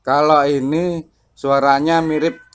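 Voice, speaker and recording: Low-key man chatting on radio. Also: flat delivery, measured pace, clear, resonant tone. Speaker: amateur CB radio operator and vlogger. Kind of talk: casual radio chat.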